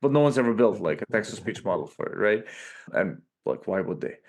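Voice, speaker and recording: A man with a Serbian accent, a podcast guest talking in a loose, animated way and tagging lines with "right?". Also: fairly low pitch, brisk. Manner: animated, peppers speech with "like" and "right?". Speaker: podcast guest, tech company chief. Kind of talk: casual interview talk.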